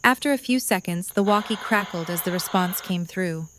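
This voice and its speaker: A woman reading the narration of an audio drama in an even storytelling manner. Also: lowish voice, moderate pace, background noise. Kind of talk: scripted narration.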